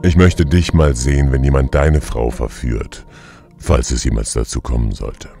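A man's voice: very deep voice, normal speed, creaky, dark tone, soft background music. A man playing a sardonic, menacing god of the underworld in an audio drama, with dry sarcasm. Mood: dry, sarcastic. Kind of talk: German audio drama acting.

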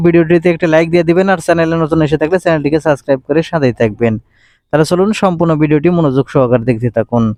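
Male tech tutorial narrator, soft mellow tone, dry close sound, instructional and repetitive delivery. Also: fairly high pitch, no room sound. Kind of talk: step-by-step screen tutorial narration.

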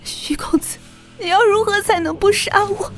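A woman's voice: extremely high pitch, moderate pace, a bit bright, soft background music. An actress playing a desperate, naive young noblewoman in a Chinese martial-arts audio drama, pleading through tears. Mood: tearful begging. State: tearful, crying.

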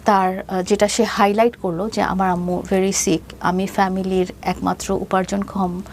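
A Bangladeshi woman in her fifties shares her story as an interview guest. Her mid-pitched voice has a noticeable creak, and she speaks haltingly, slipping into English phrases. There is faint noise behind her. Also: level tone, darkish tone. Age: 50s. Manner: hesitant, halting delivery, mixing in English phrases.

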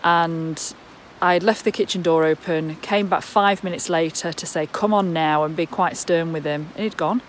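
Woman in her 30s vlogging on her phone, low voice, a bit worried, faint hiss behind. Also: fairly even intonation, normal speed, hardly any pauses. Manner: worried, talking straight to a handheld phone. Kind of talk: casual vlog to camera. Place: outdoors in the countryside and indoors at home. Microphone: phone held at arm's length.

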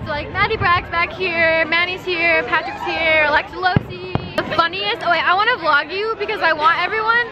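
A woman's voice: very high-pitched, moderate pace, few pauses, highs cut off, a loud crowd. A young woman in her twenties chatting casually to a handheld camera, bubbly and rambling with lots of fillers. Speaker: vlogger. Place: hotel room and busy convention grounds. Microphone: handheld camera at arm's length.

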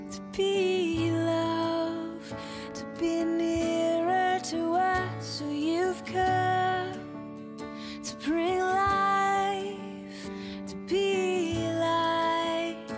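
A woman singing a slow worship song in soft, sustained phrases. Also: very high-pitched, flat delivery, over loud music. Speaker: worship singer. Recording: studio song with loud band.

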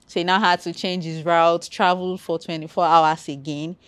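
A Nigerian woman with a Nigerian accent and a fairly low voice, chatting casually as a podcast guest. She talks in a relaxed, conversational way and repeats her key points for emphasis. The recording is dry and close, with no room sound. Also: normal speed, clear, resonant tone, no room sound. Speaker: podcast guest, travel content creator.

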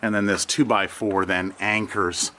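A man's voice: fairly low pitch, fairly even intonation, a little slow, pushed and bright, slight background noise. A middle-aged man casually walking through his farm, explaining repairs with many fillers and light chuckles, recorded outdoors on a handheld camera. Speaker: farm vlogger. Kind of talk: casual walkthrough commentary. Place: outdoors on a farmyard.

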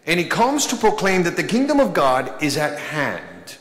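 A man in his thirties, a priest delivering a sermon from a pulpit, speaking with slow, deliberate emphasis and pauses. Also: fairly high pitch, wildly swooping pitch, a bit bright. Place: large church, at a pulpit. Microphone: pulpit microphone. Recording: echoey church with long reverb.

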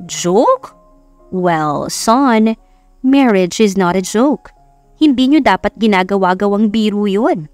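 A woman's voice: mid-range voice, expressive, takes it slowly, darkish tone, no echo, soft background music. Woman acting a gushing, scheming rich mother-in-law. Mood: breezy, insistent.

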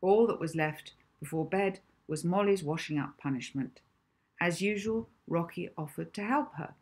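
A woman's voice: lowish voice, moderate pace, slightly rough. A middle-aged woman reading a children's novel aloud as scripted storybook narration, recorded indoors at home. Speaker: author reading own novel.

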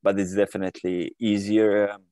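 A man's voice: on the low side, level tone, normal speed, dark tone, clean tone, no room sound, clean studio sound. A man in his thirties from Croatia, answering interview questions on a video call from a room at home, hesitant with many fillers and restarts. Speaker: guest.

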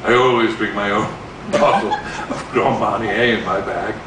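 Man doing a low, sultry woman. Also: fairly even intonation, a little slow, natural voice. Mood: suggestive. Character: sultry, suggestive married woman shopkeeper. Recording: echoey theatre, distant camera mic, loud noise.

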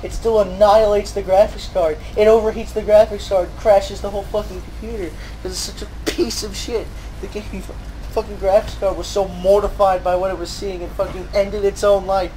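A woman's voice: medium pitch, level tone, moderate pace, warm tone. Exasperated woman ranting, noisy recording. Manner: exasperated, profane, emphatic, repetitive. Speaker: gaming video creator. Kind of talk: angry rant over gameplay.

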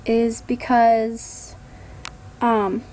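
A woman casually narrating her gameplay voiceover, a bit hoarse from a coming cold, hesitant with many ums and trailing off. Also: fairly high pitch, flat delivery, drawn-out words, a little mellow, slight background noise. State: hoarse, getting sick. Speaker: gaming vlogger.

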